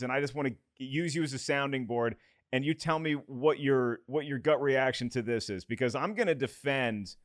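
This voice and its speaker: A man's voice: medium pitch, no echo, clean studio sound. A man co-hosting a podcast, making his case in a casual debate about films. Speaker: podcast co-host.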